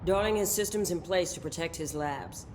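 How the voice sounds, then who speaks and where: A woman's voice: on the low side, normal speed, a little hiss or hum, close to an everyday voice. An actress playing a commanding middle-aged female operations chief in a game, clipped, matter-of-fact and authoritative. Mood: brisk, authoritative.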